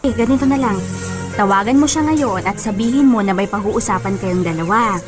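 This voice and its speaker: A woman's voice: mid-range voice, brisk, close to an everyday voice. A woman playing a supportive, direct young best friend of a bride in a radio drama. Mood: protective. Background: music bed.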